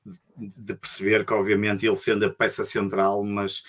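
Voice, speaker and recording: A man's voice: lowish voice, little pitch movement, normal speed, a bit bright. A man with a European Portuguese accent co-hosting a podcast, chatting casually and wordily, often repeating and rephrasing himself. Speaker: podcast co-host. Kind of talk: casual conversational commentary.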